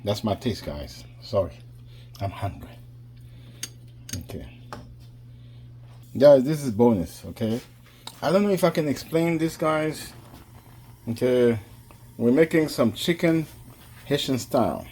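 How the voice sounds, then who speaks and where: Man, home cooking vlogger, chatty and casual, mid-pitched, faint noise behind. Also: very animated, measured pace, long pauses, warm tone. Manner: chatty, keeps addressing viewers as guys.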